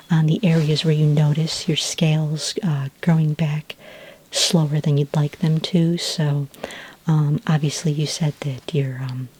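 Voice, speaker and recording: Woman, soft-spoken ASMR consultant role: low, dark and mellow, half-whispered, gently reassuring. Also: husky. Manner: hesitant, gently explanatory, reassuring. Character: calm, reassuring female sci-fi skin care consultant. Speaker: ASMR roleplay performer as a skin care consultant.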